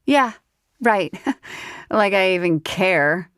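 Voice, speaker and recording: A woman's voice: medium pitch, lively intonation, slow pace, smooth, no echo, studio quality, pitched above the performer's own voice. A woman voicing a tipsy, cheeky young woman with a Southern accent, sassy and giggly in an audiobook. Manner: giggly, sassy, folksy exclamations.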